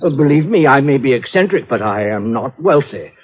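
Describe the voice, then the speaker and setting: A man's voice: mid-range voice, animated, a little fast, husky. An actor in a 1950s radio drama playing an eccentric, fussy older man who stammers and repeats himself in distress. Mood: flustered. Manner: dithering, stammering, repeating words. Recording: muffled, band-limited old radio recording.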